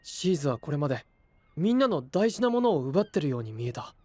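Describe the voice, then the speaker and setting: A man's voice: fairly high pitch, big pitch swings, brisk, darkish tone, dry recording. An actor playing an earnest, caring young male hero, sincere and straightforward, in an anime audio drama. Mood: pondering.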